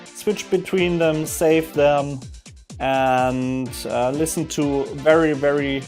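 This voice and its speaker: A man narrating a casual software screen demo in a halting way, thinking aloud as he goes. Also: a little high, fairly even intonation. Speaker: software demonstrator. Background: music from audio players being demonstrated.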